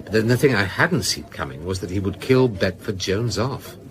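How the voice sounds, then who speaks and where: A male actor plays a sardonic novelist in a radio crime drama, confessing ruefully. His low voice swings widely in pitch, and he speaks in measured, slightly hesitant phrases. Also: lively intonation, lightly acted. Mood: wry, resigned.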